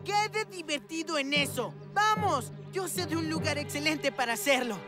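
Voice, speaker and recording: A woman voicing a mischievous, excitable young boy in a Latin American Spanish cartoon dub. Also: sits high, moderate pace, music in the background, lightly acted. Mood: excited. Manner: cheeky, eager, exclamatory.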